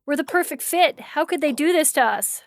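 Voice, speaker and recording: A woman's voice: high-pitched, animated, moderate pace, no echo. An actress playing a distraught, defiant young woman in love in an audio story. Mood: tearful, hurt. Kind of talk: dramatic reading of audio fiction.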